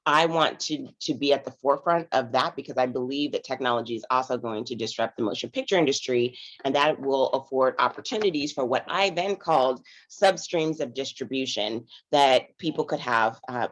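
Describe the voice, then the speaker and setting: A woman in her forties with a raspy morning voice, an investor guest telling her story in a rambling way full of "you know" fillers over a video call. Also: rumbling low, highs cut off. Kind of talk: casual conversational storytelling.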